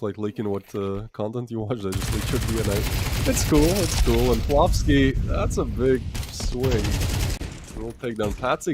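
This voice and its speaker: A man from the Baltics casting a live esports match, chatty and excitable, breaking into laughs between plays. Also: medium pitch, noisy background. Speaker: esports caster.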